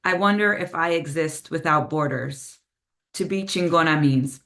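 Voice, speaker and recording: A woman with a slightly low voice, whose first language is Spanish, reads her poems over a video call. Between poems she talks loosely and hesitantly, full of "um" and "like." In the poems she slows down and drops in Spanish words. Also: a bit bright. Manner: slower, measured delivery with Spanish phrases when reading poems. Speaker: poet reading her own work. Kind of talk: poetry reading with casual spoken introductions.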